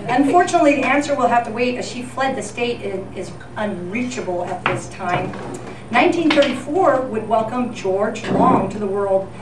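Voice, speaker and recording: Woman co-host, roomy and distant sound. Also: on the high side. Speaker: co-host of a live radio-themed tribute show. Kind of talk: scripted, tongue-in-cheek old-time radio news narration. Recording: distant echoey live event, noisy.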